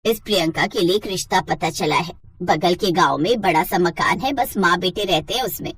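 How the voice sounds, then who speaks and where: A woman performs a middle-aged stepmother from a cartoon moral tale. Her voice sits low and slightly rough. She is bossy and contemptuous, scheming in every phrase. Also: normal speed, a bit bright, no echo, clean studio sound. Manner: scornful, bossy. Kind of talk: Hindi moral-story cartoon acting.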